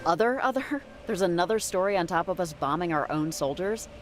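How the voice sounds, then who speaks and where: A woman's voice: on the low side, expressive, fairly quick, lightly acted. Game role, female actor: laid-back, sarcastic woman, dry and understated, naturalistic delivery, light music underneath. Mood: puzzled, sceptical. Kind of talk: naturalistic video game dialogue.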